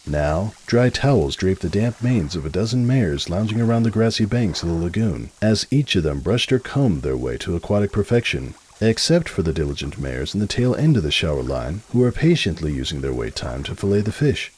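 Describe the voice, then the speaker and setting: A man narrates a story in a dramatic style. His voice is low and moves expressively up and down, over faint background noise. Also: big pitch swings, fairly quick, hardly any pauses, a little mellow.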